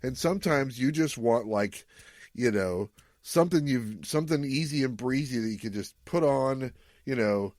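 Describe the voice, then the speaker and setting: A man's voice: mid-range voice, animated, normal speed, darkish tone, no echo. Man hosting a casual podcast, dry close sound. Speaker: podcast host. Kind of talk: casual chat recapping and reviewing an audio story.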